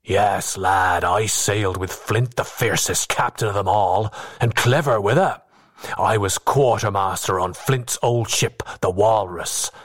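A man voicing a scheming old pirate quartermaster, boastful and sly, in rough sailor's dialect with drawn-out, persuasive phrasing. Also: fairly low pitch.

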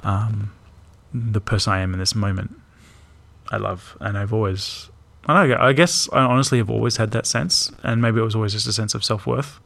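A young man co-hosting a podcast, speaking in a reflective, hesitant way with frequent pauses and ums. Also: lowish voice, fairly even intonation, gravelly, fairly bright tone. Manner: thoughtful, hesitant, many ums and restarts. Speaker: podcast co-host. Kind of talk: reflective casual chat.

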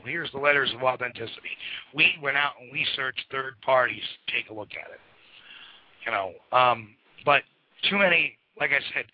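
A hoarse man hosting a casual call-in radio show, rambling with plenty of fillers and restarts. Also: medium pitch. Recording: muffled lo-fi radio broadcast.

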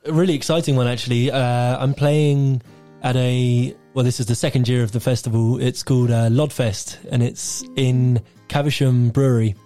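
Male musician guest, dark mellow tone, laid-back and hesitant. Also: medium pitch, moderate pace, faint music behind. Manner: relaxed, hesitant, many fillers. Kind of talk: casual radio interview.

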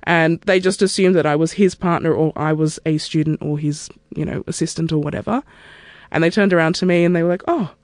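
This is a woman in her thirties, a scientist telling her own story in a relaxed, candid way. Her voice comes across close and dry, with no room sound around it. She talks things through reflectively, like she's thinking aloud. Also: low voice, dry recording. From Australia. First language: Turkish. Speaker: microbiologist and research scientist. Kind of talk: interview monologue.

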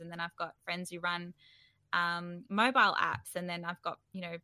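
Bright-voiced woman, close and dry. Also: lowish voice, no room sound. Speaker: startup founder, interview guest. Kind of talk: casual conversational interview.

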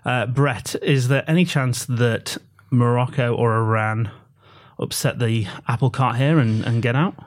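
A man's voice: mid-range voice, steady tone, measured pace. A man hosting a sports betting podcast, leading a casual chat in a joking way and handing the talk over to his guests. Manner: jokey, leads the talk and hands over to guests. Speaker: podcast host.

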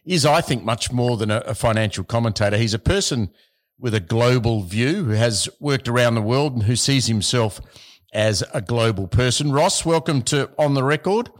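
A man's voice: mid-range voice, animated, moderate pace. Man hosting an interview podcast. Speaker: podcast host.

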